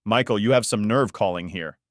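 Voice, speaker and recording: A man's voice: lowish voice, brisk, no room sound, clean studio sound, close to an everyday voice. A man playing a bitter, betrayed husband in an audiobook, icy, sarcastic and accusing. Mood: icy anger.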